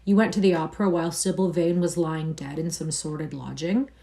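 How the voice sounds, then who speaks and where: A woman's voice: low-pitched, steady tone, brisk, dark tone. A woman reading as a grave, pained male painter. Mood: distressed pleading. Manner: earnest and reproachful.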